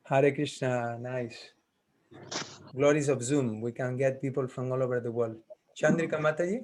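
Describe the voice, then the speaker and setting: A man teaching a class on a video call, taking roll in a friendly, halting way, thinking aloud as he goes. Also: mid-range voice, a little mellow, poor audio.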